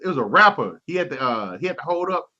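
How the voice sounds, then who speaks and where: Texan male host, slangy laughing storyteller, bright edge, muffled lo-fi audio. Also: fairly high pitch, big pitch swings, fast. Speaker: show host. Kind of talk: casual sports chat.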